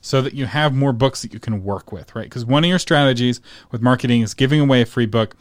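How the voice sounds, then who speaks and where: A man's voice: medium pitch, fast, dry recording. Man, host, emphatic, explains like a coach, laughs easily, dry recording. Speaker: interviewer host. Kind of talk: interview with coaching asides.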